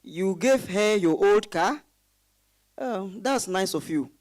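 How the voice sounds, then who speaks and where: A woman playing a gentle, concerned visitor in a dramatized reading. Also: mid-range voice, expressive, slow. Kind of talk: dramatized stage-style reading.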